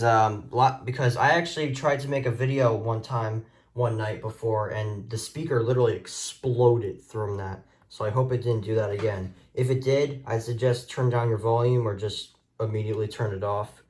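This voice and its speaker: A man's voice: fairly low pitch, steady tone, normal speed, a little mellow. Hesitant man giving a casual show-and-tell. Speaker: video host showing a radio collection. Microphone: phone camera mic, handheld.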